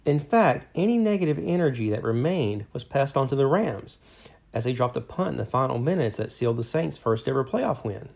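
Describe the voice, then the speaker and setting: A man co-hosting a podcast reads a scripted history in a steady, measured way. His voice is gravelly and sits in a middle pitch. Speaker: podcast co-host. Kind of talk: scripted narration.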